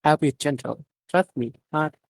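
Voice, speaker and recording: A man's voice: a little high, a little slow, creaky, no room sound. A man voicing a polite young robot girl with a stiff, robotic manner in a story reading. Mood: gentle, coaxing. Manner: robotic, evenly worded.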